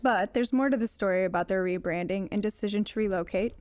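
A woman's voice: medium pitch, quick pace, a little mellow. A woman reading scripted narration as the host of an interview programme.

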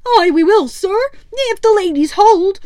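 A woman voicing a squat, loyal male pirate first mate who answers high and eager. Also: lively intonation, a little slow, mellow, no room sound, studio quality.

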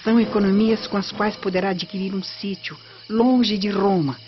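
A woman's voice: mid-range voice, steady tone, normal speed, natural voice. An actress playing a vengeful, commanding Roman lady in a radio soap opera, with an imperious, conspiratorial delivery. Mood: confiding, urgent. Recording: dull lo-fi radio drama with music.

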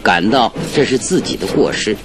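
An actor playing a confident male detective in a radio drama, reasoning out a case with deliberate, theatrical authority. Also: lively intonation, over music. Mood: assured. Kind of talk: Mandarin radio drama acting. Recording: noisy old radio drama, train rumble behind.

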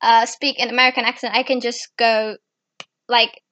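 A woman's voice: a little high, little pitch movement, normal speed, sharp tone, dry recording. A teenage girl hosting a chat, often stuttering and restarting, a native Indonesian speaker. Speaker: podcast host. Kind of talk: casual chat.

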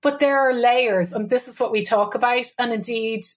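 A talkative middle-aged Irish woman with a slightly raised pitch tells her story to a podcast host. She speaks loosely and colloquially, laughing easily as she goes. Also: steady tone, moderate pace. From Ireland. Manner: chatty, laughs often, colloquial. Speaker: guest, business owner and mother. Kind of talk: podcast interview, candid storytelling.

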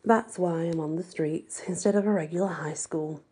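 Lady doing a gutsy teen best friend in a story, blunt, husky breathy voice. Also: fairly low pitch, normal speed, dark tone. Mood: matter-of-fact. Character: bold, defiant teenage girl, loyal best friend.

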